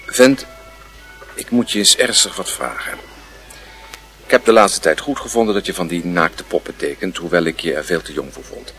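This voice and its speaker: An actor playing a stern father in a Dutch radio play, questioning his son in a formal, measured way. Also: sits low, a bit bright, faint music behind. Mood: stern, grave.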